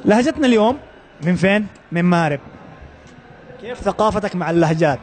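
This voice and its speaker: A man with a Yemeni accent interviewing passersby in the street with brisk, upbeat questions. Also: sits high, expressive, darkish tone, faint music behind. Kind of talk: street vox pop interviews.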